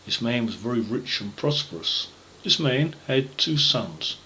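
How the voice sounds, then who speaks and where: Male storyteller for children's parable: medium pitch, forceful tone, deliberate, emphatic phrasing. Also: expressive, low-quality recording. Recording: faint background noise.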